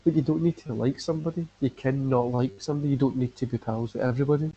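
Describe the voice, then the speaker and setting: Mellow-voiced man rambling on a podcast. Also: medium pitch, steady tone, very fast, husky, highs cut off. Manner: rambling, leans on "like" fillers. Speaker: podcast host. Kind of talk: casual chat.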